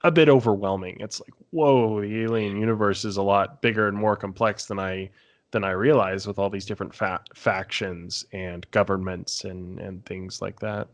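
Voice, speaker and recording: A man's voice: on the low side, steady tone, moderate pace. A man talking casually as a panellist in a fan discussion podcast.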